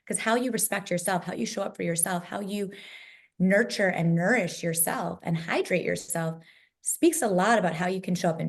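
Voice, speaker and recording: A woman with a low voice, moderating a virtual panel discussion over a video call, emphatic and fond of building lists. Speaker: summit moderator.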